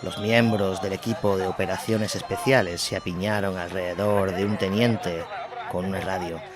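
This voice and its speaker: Male narrator, very low voice, scripted dramatic war narration, clear music bed behind. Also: fairly even intonation, normal speed, crowd noise.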